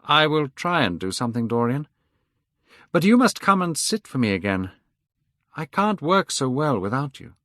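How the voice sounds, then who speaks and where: A man reading aloud, voicing an older painter who sounds worried, gentle and pleading. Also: medium pitch, big pitch swings, normal speed, no echo, studio quality, voice pushed up. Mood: distressed.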